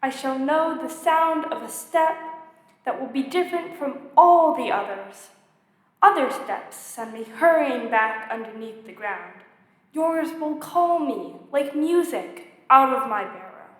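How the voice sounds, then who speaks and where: A woman voicing a lonely, wistful storybook fox in an earnest, gently dramatic way. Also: high voice, lively intonation, unhurried. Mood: hopeful, yearning. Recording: echoey hall with long reverb.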